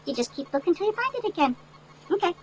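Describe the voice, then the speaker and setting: An older woman reading a picture book aloud to children in an animated, folksy way, with chatty asides that explain things. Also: very high-pitched, quick pace, a little hiss or hum, poor audio. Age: older, great-grandmother. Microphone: headset.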